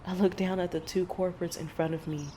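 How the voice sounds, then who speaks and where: An actor playing a middle-aged mother and monster hunter who recounts past horrors in a measured, deliberate audio drama manner. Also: fairly low pitch, little pitch movement, mellow, natural voice. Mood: somber, tense. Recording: faint background noise.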